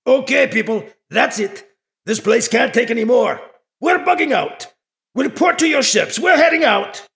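A man voicing a commander in an audio story, shouting clipped, urgent orders. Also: extremely high pitch, expressive, moderate pace, gravelly, voice pushed up, heavily acted. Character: gruff-mannered male commander shouting evacuation orders. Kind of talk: audio story character dialogue.

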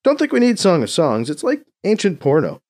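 Man voicing an impatient, high-pitched, irreverent former pope. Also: huge pitch swings, brisk, a little mellow, exaggerated voice. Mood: dismissive. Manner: sarcastic, exclamatory.

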